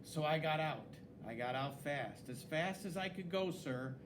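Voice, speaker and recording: A man reading a children's story aloud in an expressive, dramatic way, with swooping emphasis. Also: fairly high pitch, a little slow, noisy background. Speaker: story reader.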